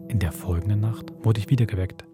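A man with a Swiss accent hosting a podcast, reading a spooky story aloud in a measured storytelling manner. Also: on the low side, music in the background. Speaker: podcast host.

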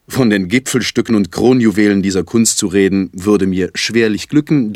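A man performing a self-ironic, musing poet in a theatrical literary recitation, speaking in a deliberate, wry, articulate way. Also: deep voice, no echo, studio quality.

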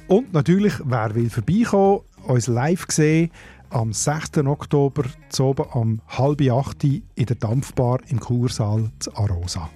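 A man with a Swiss German accent, co-hosting a dialect podcast and explaining the next guest in a relaxed way. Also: mid-range voice, unhurried, breathy edge, faint music behind. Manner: relaxed, explanatory, a few hesitations. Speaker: podcast co-host. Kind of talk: radio chat trailer.

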